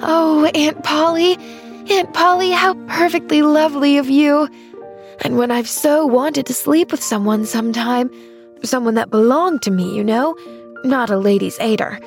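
A woman's voice: sits high, unhurried, soft background music, pitched above the performer's own voice. A woman voicing a cheerful, eager little girl who gushes with delight. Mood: rapturous.

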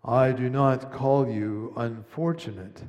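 A man voicing a solemn, gentle, all-knowing great lion, speaking slowly and gravely as he reads a storybook aloud. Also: mid-range voice, steady tone, close to an everyday voice. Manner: slow, grave, measured delivery. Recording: long reverb of a large hall.

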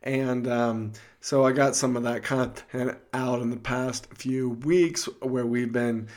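A man with a gruff voice co-hosting a faith podcast in an easygoing, joking chat full of fillers. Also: medium pitch, fairly even intonation, takes it slowly, a little mellow. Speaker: podcast co-host.

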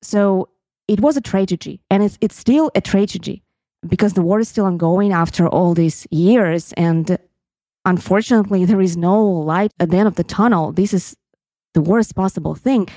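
Earnest woman with a mellow, dry voice. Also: mid-range voice, moderate pace, no room sound. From Crimea, Ukraine. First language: Russian. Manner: earnest, heartfelt, reflective. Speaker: podcast host. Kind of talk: personal storytelling monologue.

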